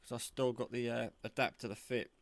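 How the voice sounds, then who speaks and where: Man, low-voiced, casual and rambling. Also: little pitch movement, brisk, gravelly. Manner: rambling, informal. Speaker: radio hobbyist showing a handset. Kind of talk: casual product show-and-tell.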